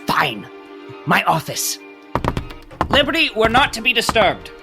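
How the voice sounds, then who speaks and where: Indignant, cowardly, animated businessman. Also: sits high, unhurried, creaky, music in the background, full character voice. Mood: impatient. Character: indignant, cowardly male mill owner and society member. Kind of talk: audio drama acting.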